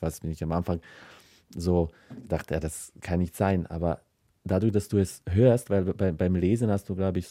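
Man, Balkan accent, very low pitch, dark soft timbre, halting reflective interview talk. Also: no room sound, studio quality. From former Yugoslavia. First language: South Slavic language of former Yugoslavia. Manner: halting, searching phrasing with repeated words. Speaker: writer being interviewed.

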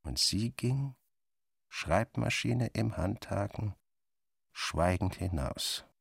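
Very deep, slow-paced male narrator. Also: animated, gravelly, slightly rough. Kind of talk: literary first-person scripted narration.

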